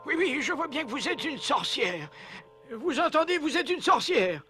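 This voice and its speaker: A man's voice: very high-pitched, very animated, moderate pace, sharp tone, soft background music, full character voice. A man playing a proud, suspicious old man in a French cartoon dub, indignant and repeating himself. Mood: angry accusing. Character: grumpy, proud, suspicious old woodcarver father.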